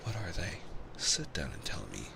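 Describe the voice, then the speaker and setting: A man's voice: a bass voice, very animated, normal speed, gravelly, heavy background noise, full character voice. A man voicing a spoiled, sickly, commanding young boy in a bedtime storybook reading. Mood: curious, imperious. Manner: grand, demanding. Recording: constant loud ocean-wave ambience behind voice.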